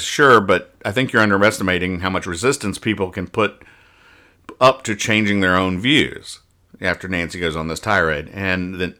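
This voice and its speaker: A man with a low, forceful, bright voice hosts a casual podcast. He talks in loose banter, over-explaining in a mock-earnest way and often repeating himself as he goes. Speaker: podcast host. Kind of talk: casual comic-strip review banter.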